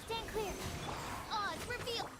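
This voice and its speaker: Young female game fighter, high sing-song battle barks, heavy score underneath. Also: slow pace. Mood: commanding. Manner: crisp, clipped battle callouts. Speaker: game characters' battle voice lines. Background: loud game music.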